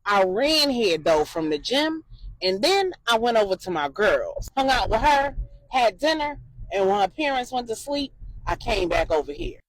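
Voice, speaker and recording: A woman's voice: on the high side, very animated, normal speed, no room sound, low-quality recording. A woman voicing a streetwise young man, a loyal crew member with a casual, assured, slangy manner.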